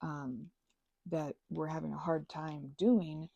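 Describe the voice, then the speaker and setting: Mellow, husky woman rambling casually. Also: low voice, steady tone, slow, no room sound. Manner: meandering, trails off into asides. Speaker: ASMR and audio channel creator. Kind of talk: rambling casual chat to listeners.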